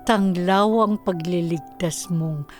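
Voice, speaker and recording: A woman's voice: fairly low pitch, slow pace. A woman playing a devout figure who recites solemnly and prayerfully in a radio drama, with music behind her. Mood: reverent. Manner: reverent. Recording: soft music under the voice.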